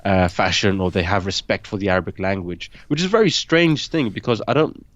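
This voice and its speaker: A man raised in the UK, born in Pakistan, hosting a casual interview and telling animated anecdotes that act out what others said. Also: deep voice, brisk, no echo, lo-fi sound. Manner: animated storyteller, acts out quoted voices. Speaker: podcast host.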